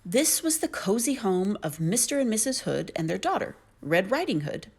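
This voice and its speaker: A woman narrating a children's story in an expressive storytelling manner. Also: medium pitch, moderate pace, slightly rough.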